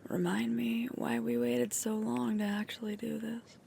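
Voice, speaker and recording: A woman playing a sweet, tired, affectionate werewolf girlfriend in an intimate ASMR roleplay, speaking softly with a breathy, creaky voice. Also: on the high side, flat delivery, takes it slowly, slight background noise, full character voice. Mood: tender, fond. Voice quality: breathy, creaky, often whispering.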